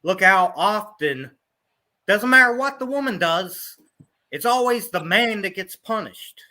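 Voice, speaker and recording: High bright-voiced guy from ohio on a panel show, blunt, keeps saying pure and simple. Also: expressive, a little slow. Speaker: guest panelist. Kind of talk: online panel discussion.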